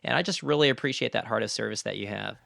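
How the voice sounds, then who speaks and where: A man's voice: mid-range voice, quick pace, gravelly, bright, forceful tone, no room sound, clean studio sound. A man hosting a podcast, speaking courteously in interview and scripted outro narration. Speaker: podcast host.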